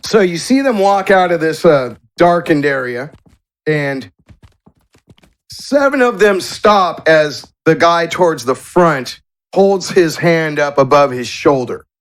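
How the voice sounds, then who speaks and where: A man narrating a tabletop game as game master, in halting, descriptive storytelling. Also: a little high, animated. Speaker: game master and show host.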